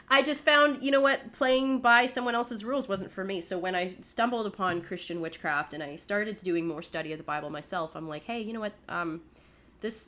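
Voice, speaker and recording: A woman's voice: on the low side, a bit bright. A young woman from Canada, co-hosting a podcast and telling her life story in a candid, chatty way, often laughing at herself. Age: young adult. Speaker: podcast co-host. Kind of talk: casual personal storytelling.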